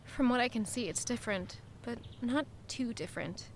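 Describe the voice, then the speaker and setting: A woman playing a quietly resolute young woman in an audio drama, narrating in a measured, reflective way. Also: on the high side, a little hiss or hum, natural voice. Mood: brooding, wistful. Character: guilt-ridden, quietly resolute young woman returning to her hometown. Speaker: narrator and lead character. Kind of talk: first-person scripted audio drama narration.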